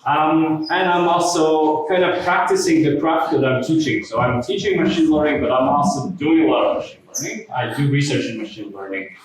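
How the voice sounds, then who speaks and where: A man's voice: fairly high pitch, normal speed, few pauses. A man giving a friendly, chatty, informal lecture. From Ukraine. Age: 30s. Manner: friendly, chatty, self-deprecating. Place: lecture venue. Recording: echoey hall, mic far off.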